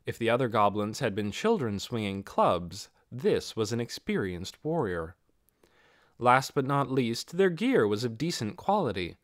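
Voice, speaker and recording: A male narrator reads a fantasy novel aloud. His mid-pitched delivery is lively and varied, recorded dry with no room sound. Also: unhurried, dry recording. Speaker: audiobook narrator.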